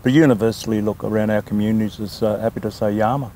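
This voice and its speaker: An older Australian man from New South Wales, a land council chairman answering interview questions in a talkative, unhurried way with frequent ums. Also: on the low side, fairly even intonation, dark tone, a little hiss or hum. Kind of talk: casual interview.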